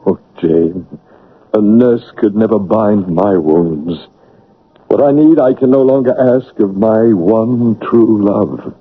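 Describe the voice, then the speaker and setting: A man playing a brooding, proud, blinded English gentleman in an old radio drama, with theatrical, halting delivery. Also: lowish voice, breathy edge, heavily acted. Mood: bitter, yearning. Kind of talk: 1970s-80s radio drama acting. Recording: narrow, tinny old radio broadcast.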